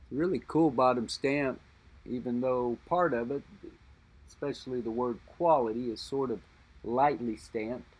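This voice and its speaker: A middle-aged man talking unscripted about a vintage lighter he holds, musing aloud and pausing to think as he describes it. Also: medium pitch, breathy edge, low-quality recording. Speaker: lighter collector and reviewer. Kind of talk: unscripted show-and-tell narration.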